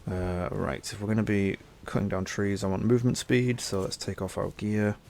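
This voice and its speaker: A man's voice: lowish voice, fairly even intonation, normal speed, gravelly, darkish tone. A young man with a stuffy nose from a cold, chatting easygoingly as he plays a game and thinks aloud. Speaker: gaming channel host. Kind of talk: casual gameplay commentary.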